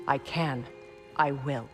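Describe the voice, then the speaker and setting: A woman playing a regal, resolute goddess in a video game, imperious and measured in her speech. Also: very deep voice, steady tone. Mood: urgent, commanding. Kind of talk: video game character acting. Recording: long reverb, faint music.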